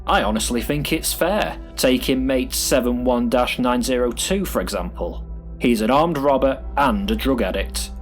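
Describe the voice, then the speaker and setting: A man's voice: mid-range voice, expressive, moderate pace, soft background music, natural voice. A man playing a callous, officious prison supervisor in an audio drama, instructing in a matter-of-fact, clinical way. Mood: self-righteous. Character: callous, officious male prison pod supervisor training a recruit.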